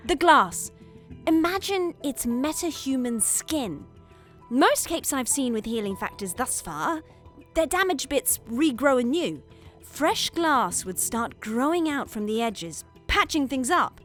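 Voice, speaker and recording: Eccentric lady doctor for audio drama: high-voiced, highly animated, wry, relishes the gruesome. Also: takes it slowly, soft background music, heavily acted. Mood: eager, explaining. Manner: theatrical, wry, eager explaining. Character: eccentric female forensic doctor, excited by gruesome puzzles.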